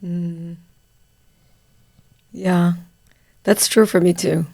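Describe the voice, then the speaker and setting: A woman hosting a casual podcast conversation, gently drawing her guest out with questions. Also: lowish voice, steady tone, very slow, vocal fry, no echo, studio quality. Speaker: podcast host, coach.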